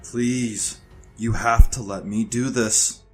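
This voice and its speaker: A man voicing an imperious, menacing warlord villain in a fantasy audiobook, speaking in a deliberate, formal manner. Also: mid-range voice, steady tone, soft background music, lightly acted. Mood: pleading, intense.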